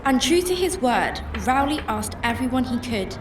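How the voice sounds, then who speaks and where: A woman playing a grieving mother searching for her missing husband in a radio drama, with a halting, emotional delivery. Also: high-pitched, animated, noisy background. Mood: reflective, wistful. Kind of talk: radio drama acting and first-person narration. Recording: music under the voice.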